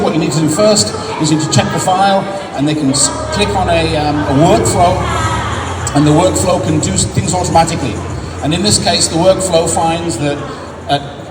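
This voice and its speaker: An English man giving a casual, explanatory talk at a tech conference, with many restarts as he demos software. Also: on the high side, very noisy. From England. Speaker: conference presenter. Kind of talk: live tech demo talk. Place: large conference hall. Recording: echoey hall recorded from far off with loud ambience.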